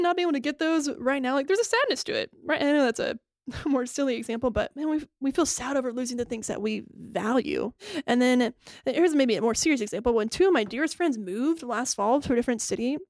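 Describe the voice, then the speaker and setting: A young woman co-hosts a casual faith podcast, explaining points one by one and laughing easily. Her voice is higher and gravelly with vocal fry. The recording is dry and close. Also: dry recording. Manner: warm, laughing, explains step by step. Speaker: podcast co-host.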